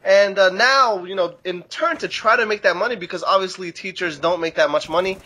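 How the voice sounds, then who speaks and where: A man's voice: sits high, a little fast, pushed and bright. A man chatting casually as a guest, telling anecdotes in a loose, sweary way. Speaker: guest on an online video series.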